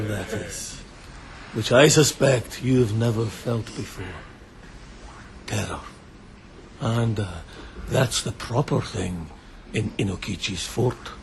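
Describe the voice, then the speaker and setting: An actor playing a sadistic bandit chieftain in a radio drama, theatrical and mocking, relishing every word with menace. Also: male voice, medium pitch, creaky, a bit bright. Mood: quiet menace. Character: gloating, sadistic bandit chieftain taunting bound captives.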